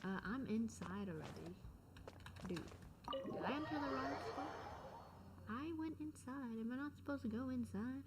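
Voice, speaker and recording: A woman's voice: sits high, animated, normal speed, long pauses. Casual woman gamer over noisy game audio. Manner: slangy, muttering short reactions. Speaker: gaming streamer. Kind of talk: casual reaction commentary. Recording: noisy gameplay audio behind voice.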